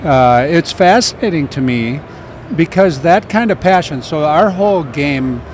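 A man's voice: on the high side, animated, measured pace, a little hiss or hum. Enthusiastic man hosting a chat. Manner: enthusiastic, complimentary. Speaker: podcast host. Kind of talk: casual interview chat.

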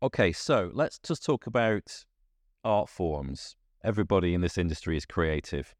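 Male interviewer, deep voice, short encouraging prompts. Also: expressive, normal speed, no room sound, clean studio sound. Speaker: podcast host, interviewer.

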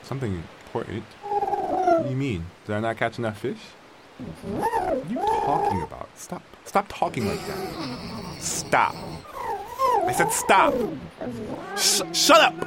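A man playing a proud, bossy castaway in an audio drama, speaking haltingly in a haughty, grudging way to his companions. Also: high voice, huge pitch swings, very noisy, heavily acted. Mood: alarmed, angry. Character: proud stranded male castaway, bossy, grudging, talking to creatures. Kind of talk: dramatic audio fiction monologue, survival log.

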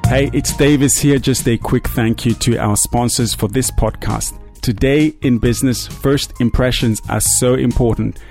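A man's voice: mid-range voice, fairly even intonation, normal speed, gravelly, few pauses, over music. A man hosting a business podcast, reading sponsor copy and welcoming guests in an upbeat, effusive way. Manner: enthusiastic, effusive. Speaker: podcast host.

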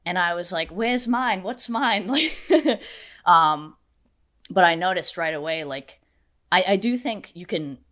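Deep-voiced young woman, chatty. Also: a bit bright. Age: 20s. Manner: chatty, laughs often, storytelling. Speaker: guest, makeup artist. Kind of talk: casual interview chat.

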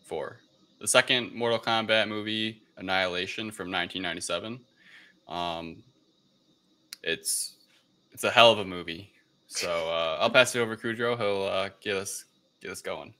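A man's voice: deep voice, normal speed, sharp tone. A man hosting a film podcast in a casual, chatty style. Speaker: podcast host. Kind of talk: casual chat.

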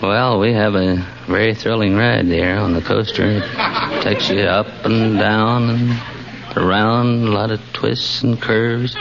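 Low-voiced man, quiz contestant. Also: fairly even intonation, slow pace, vocal fry, a crowd in the background. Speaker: contestant, roller coaster operator. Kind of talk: plain answers on a quiz show. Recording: dull old radio recording, noisy audience.

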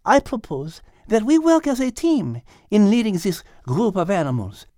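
A man voicing a clever female cat leader with a French accent, speaking in a measured, formal way for an audiobook. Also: sits high, huge pitch swings, warm tone, no room sound, studio quality, voice pushed up. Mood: composed, formal. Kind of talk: animated audiobook character reading.

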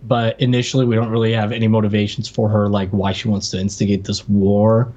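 A man's voice: on the low side, flat delivery, fairly quick, dark tone. A man co-hosting a podcast, chatting casually and informally as he reviews a television show. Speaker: podcast co-host. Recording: dull lo-fi microphone sound.

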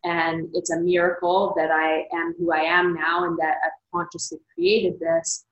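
A woman's voice: on the low side, flat delivery, measured pace, reverberant, dull audio. A woman author and coach talking candidly in an interview, reflective and open, with lots of "like" fillers. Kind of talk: casual interview conversation.

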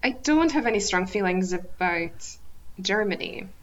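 A woman in her thirties, a podcast guest from Kazakhstan, talking thoughtfully with frequent pauses and restarts. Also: medium pitch, expressive, muffled recording. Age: 30s. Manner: thoughtful, hesitant with many ums and restarts. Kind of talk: casual interview chat.

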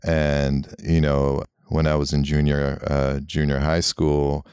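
Very deep, gravelly-voiced man. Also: monotone, takes it slowly, darkish tone, no echo, studio quality. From Los Angeles. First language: Armenian. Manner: musing, meandering, many "you know" fillers. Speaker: guest artist and architect. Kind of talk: reflective interview talk.

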